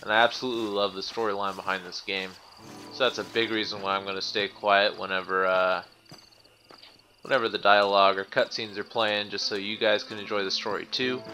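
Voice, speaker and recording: A man's voice: on the low side, level tone, brisk, sharp tone, low-quality recording. A man hosting a let's play, chatting informally in casual gameplay commentary.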